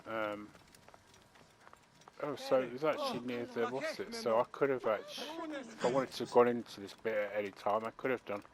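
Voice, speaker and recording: A man's voice: medium pitch, lively intonation, fairly quick. A man commenting on his video game playthrough, rambling and chatty. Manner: rambling and chatty, many fillers. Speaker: gaming channel host. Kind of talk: let's play commentary.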